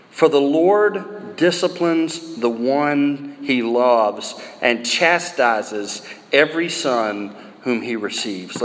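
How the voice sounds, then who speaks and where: A man's voice: mid-range voice, a bit bright. A middle-aged American man preaching a sermon in an emphatic yet conversational way, repeating phrases and posing rhetorical questions. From United States. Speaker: pastor preaching to a church. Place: large indoor room, live sermon. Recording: roomy hall reverb, some background noise.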